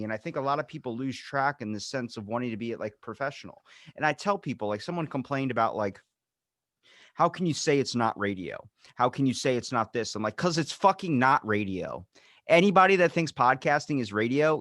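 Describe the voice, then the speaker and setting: A man's voice: mid-range voice, a bit bright, dry recording, clean studio sound. A man in his twenties hosting a podcast, talking bluntly and swearing often in a casual rant. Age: 20s. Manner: blunt, swears a lot, ranting. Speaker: podcast host.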